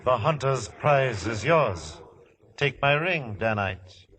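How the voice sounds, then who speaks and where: A man's voice: mid-range voice, animated, slow pace, a crowd in the background. An actor playing a sardonic, commanding ancient king in a 1950s radio drama. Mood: lordly, amused. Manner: imperious, sardonic. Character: haughty, sardonic Philistine king, commanding ruler.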